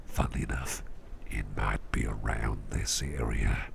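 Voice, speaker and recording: A man performing an ASMR roleplay as an attentive boss, speaking in a gentle, reassuring, breathy half-whisper with an unhurried delivery. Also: a bass voice, fairly even intonation, slight background noise. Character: soft-spoken, attentive businessman boss who researches legendary artifacts. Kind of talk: ASMR roleplay, intimate one-sided chat.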